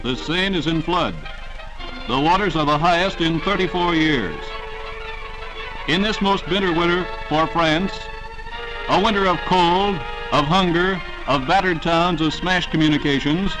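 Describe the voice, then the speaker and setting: A man with a fairly high voice delivers scripted wartime newsreel narration in a dramatic, declamatory way. The sound is that of a restored 1940s film soundtrack, with a prominent music score playing behind him. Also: a little slow, low-quality recording.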